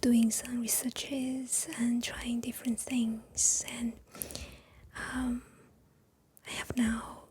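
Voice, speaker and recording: A woman whispering gently in an ASMR chat, pausing often with soft fillers, a Chinese speaker talking in English. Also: a little high, flat delivery, husky. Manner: soft unvoiced whisper with many pauses and fillers. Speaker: ASMR creator.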